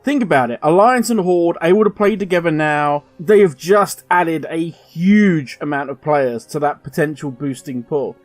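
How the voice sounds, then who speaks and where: Man, high voice, ranting commentary, dry close mic, soft music behind. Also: animated, normal speed, few pauses, no echo. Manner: emphatic, ranting, direct address. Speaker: gaming video host. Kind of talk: opinionated commentary to camera.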